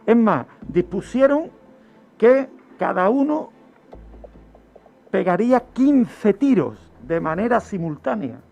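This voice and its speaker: A man's voice: high voice, very animated, slow pace, vocal fry, pauses often, a little mellow. A man presents a true-crime radio segment, explaining emphatically and repeating phrases for effect. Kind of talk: storytelling explanation in a radio talk. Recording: dull lo-fi radio audio, music underneath. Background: background music.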